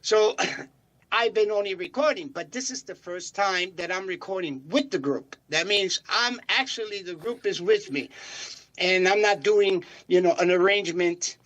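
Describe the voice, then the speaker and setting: An older singer from Chicago answering interview questions in a rambling way, with many fillers and restarts. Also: male voice, sits high, moderate pace, a bit bright. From Chicago, United States. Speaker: singer guest.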